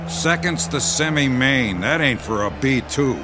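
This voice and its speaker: An actor playing a gruff, unbending fight-arena handler in a radio drama, talking blunt tough-guy slang. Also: mid-range voice, expressive, measured pace, over music, natural voice. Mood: curt.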